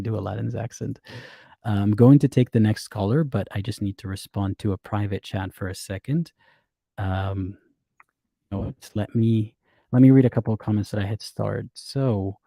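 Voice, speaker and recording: A man hosting a live stream with callers, reading out chat comments and giving his opinions in a relaxed, casual way. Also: lowish voice, vocal fry, dry recording. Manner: relaxed, reads out chat comments and muses aloud. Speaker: livestream host taking callers.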